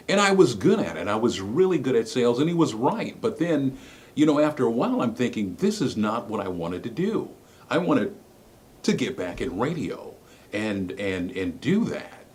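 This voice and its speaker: Middle-aged male ex-broadcaster: low, smooth voice, highly varied intonation, earnest and emphatic personal appeal. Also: darkish tone, slight background noise. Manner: earnest, emphatic, repeats phrases for effect. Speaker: former radio broadcaster. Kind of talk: personal story and plea to camera.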